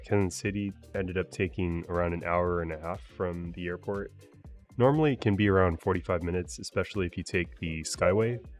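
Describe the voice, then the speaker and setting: A man talking casually as a travel vlogger, relaxed and chatty with frequent fillers. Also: low voice. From United States. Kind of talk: casual travel vlog narration.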